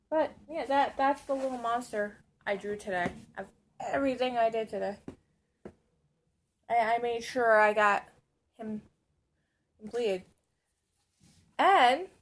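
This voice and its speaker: Hoarse, chatty woman vlogger. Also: fairly high pitch, measured pace, long pauses. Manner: chatty, rambling, self-mocking. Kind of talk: casual vlog chat. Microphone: camera on a stand.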